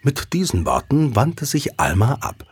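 A man's voice: mid-range voice, big pitch swings, unhurried, husky. A man narrating a scripted audio drama as a storyteller.

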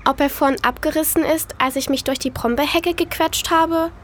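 Woman as an earnest, high-voiced young girl. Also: fairly even intonation, normal speed, a little hiss or hum, close to an everyday voice. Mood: worried, regretful. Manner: childlike, thinking aloud in simple words. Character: young girl, earnest, wistful, attached to her toy. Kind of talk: children's audio drama acting.

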